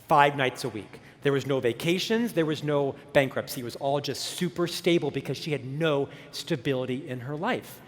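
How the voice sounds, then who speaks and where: A man in his fifties with a stutter, telling his life story at a motivational conference with self-deprecating humor. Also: medium pitch. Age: 50s. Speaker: keynote speaker. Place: large conference hall stage. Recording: long reverb of a conference hall.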